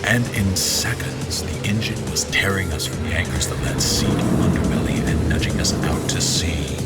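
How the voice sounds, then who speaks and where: A man performs a formal male general in a fantasy audio drama. He speaks in measured, theatrical, literary phrases, with clear music underneath. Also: sits low, pitched below the performer's own voice. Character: formal male general recounting his memories. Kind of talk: dramatic audio fiction narration.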